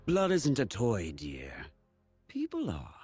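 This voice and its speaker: An actor playing an immortal, condescending aristocratic blood mage in a video game, with a breathy gravelly voice and pompous, savoring delivery. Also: male voice, mid-range voice, wildly swooping pitch, a little mellow, soft background music. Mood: sinister relish. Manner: pompous, drawn-out, savoring words.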